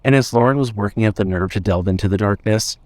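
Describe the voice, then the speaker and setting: A man's voice: fairly low pitch, fast talker, a little mellow, no room sound. A man reading scripted fiction as the narrator of an audio story.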